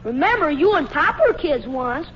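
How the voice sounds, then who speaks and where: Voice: sits high, sing-song, moderate pace, a bit bright. A performer playing an eager, wheedling young son in a 1940s radio comedy, whiny when refused. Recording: muffled, noisy old radio recording.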